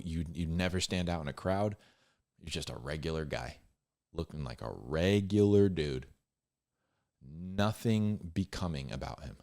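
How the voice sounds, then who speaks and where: A man giving informal, off-the-cuff Bible commentary in a conversational, halting way, repeating phrases for emphasis. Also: low voice, darkish tone. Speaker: Bible storyteller and commentator, host.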